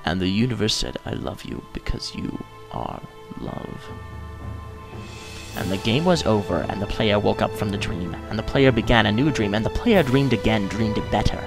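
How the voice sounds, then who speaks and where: A man reading aloud in a slow, solemn, dramatic style as narrator, with background music behind him. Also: on the low side, animated, creaky. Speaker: video host of a gaming channel. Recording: music under the voice.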